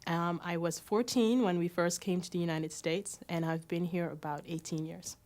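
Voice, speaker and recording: A woman from Jamaica answering an interview question in a relaxed, casual way. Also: fairly low pitch, moderate pace.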